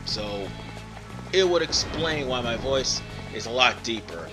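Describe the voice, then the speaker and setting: A man's voice: mid-range voice, lively intonation, measured pace, low-quality recording. A tired man giving casual, rambling let's play commentary, often stopping to correct himself. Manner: rambling, digressive, self-correcting. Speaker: gaming video host. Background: video game music.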